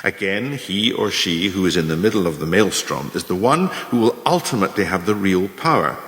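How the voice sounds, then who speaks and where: A man acts out a shrewd, ruthless mentor delivering a radio play monologue to would-be managers. His low male voice swings expressively through measured, didactic phrases. The sound is echoey, with a long reverberant tail. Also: animated, fairly bright tone. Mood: coolly instructive. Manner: didactic, measured, formal, emphatic. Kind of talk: scripted radio play monologue, delivered as a lecture in numbered lessons.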